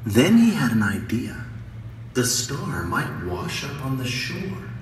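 A man reading a children's picture book aloud in a gentle storybook manner. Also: mid-range voice, very animated, slow pace, far from the mic, noisy background. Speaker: read-aloud narrator. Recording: recording played in an echoey gym, heard from afar.

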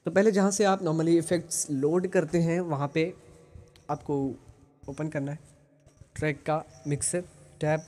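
A man with an Indian accent explaining software as a tutorial host, mixing in English phrases. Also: on the high side, fairly even intonation, unhurried, long pauses.

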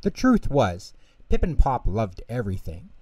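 A man's voice: mid-range voice, wildly swooping pitch, normal speed, a little mellow, slightly rough, dry recording, far from the mic. A man reading a scripted children's bedtime story as the narrator.

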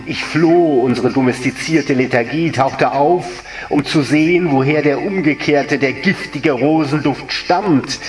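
A man's voice: mid-range voice, close to an everyday voice. A man voicing an anguished, lovelorn female water spirit in a solemn, lyrical dramatic monologue. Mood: mournful. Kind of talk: literary dramatic monologue recitation. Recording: echoey room, distant mic, music underneath. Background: music.

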